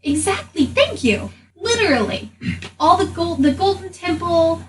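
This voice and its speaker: A woman's voice: extremely high pitch, big pitch swings, a little slow, full character voice. A woman playing a proud, easily exasperated retired seer and storyteller in a tabletop game, speaking emphatically and indignantly. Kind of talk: improvised tabletop roleplay in character. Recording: echoey room, distant, faint noise.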